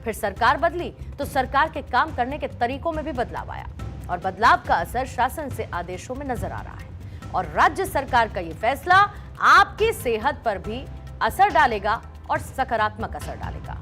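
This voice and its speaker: A woman's voice: fairly high pitch, sing-song, normal speed, bright, forceful tone. A woman reading scripted broadcast news as an anchor, with emphatic, presentational delivery. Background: background music under the voice.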